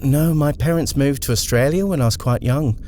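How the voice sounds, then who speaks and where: A man's voice: medium pitch, moderate pace, dark tone, slight background noise, pitched above the performer's own voice, lightly acted. A man voicing a polite, hesitant young woman who is nervous. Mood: anxious.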